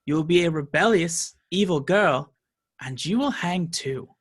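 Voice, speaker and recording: A man's voice: on the high side, expressive, unhurried. A man playing a cruel, pompous medieval sheriff in a radio play, preaching law and order with menace. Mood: menacing, preaching.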